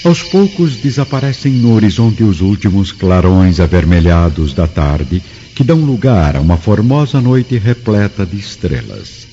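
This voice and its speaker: A man's voice: deep voice, big pitch swings, moderate pace, hardly any pauses, dark tone, dull audio, slight background noise. A man with a Brazilian accent giving literary, declamatory scripted narration for a radio drama.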